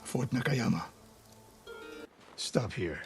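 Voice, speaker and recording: An actor playing a stern, proud older samurai archery master in a video game, speaking in a terse, commanding, dry way. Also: male voice, mid-range voice, animated, slow, vocal fry, faint music behind. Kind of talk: dramatic cinematic game dialogue.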